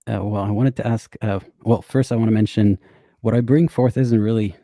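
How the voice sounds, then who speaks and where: A man with a very deep, gravelly voice hosts a call-in stream. He talks in a relaxed, casual way and keeps his replies short. His tone is dark, and the sound is dry and close. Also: brisk, no room sound. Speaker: stream host.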